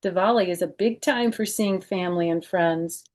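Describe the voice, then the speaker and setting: Female storytime reader for kids, slightly lower register, expressive delivery with informal explanatory asides. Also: moderate pace. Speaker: storytime host reading a picture book to children. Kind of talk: expressive read-aloud of a children's book with chatty asides.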